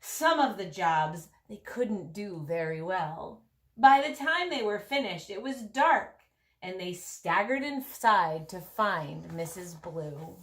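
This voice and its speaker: A woman reads a children's storybook aloud with a very lively, swooping pitch. Her pace is slow, and she leans on key words and stretches out phrases expressively. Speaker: storybook reader. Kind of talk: animated picture-book read-aloud.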